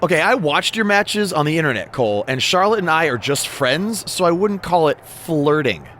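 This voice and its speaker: Exasperated, lively male podcast host. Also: a little high, moderate pace, a little hiss or hum. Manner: exasperated, keeping on topic. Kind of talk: banter in a video game podcast scene.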